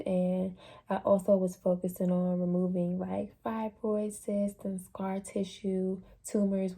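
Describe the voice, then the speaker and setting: A young adult woman talking casually about her experience in a personal vlog, relaxed and chatty with plenty of fillers. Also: medium pitch, vocal fry, slightly rough. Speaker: vlogger sharing a fasting journey.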